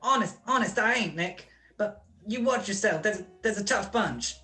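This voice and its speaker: A woman's voice: medium pitch, lively intonation, moderate pace, sharp tone, faint music behind. A woman playing a nervous male stool pigeon in a radio crime drama, stammering, slangy and pleading. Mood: anxious, confiding. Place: recorded at home over a video call.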